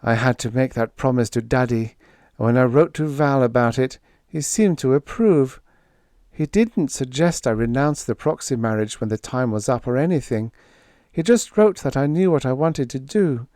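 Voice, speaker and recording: A man's voice: mid-range voice, animated, normal speed, warm tone, no room sound, studio quality, pitched above the performer's own voice, natural voice. A man voicing a wistful, dutiful young princess for an audiobook, in an earnest, plaintive delivery. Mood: regretful. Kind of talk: audiobook character voice.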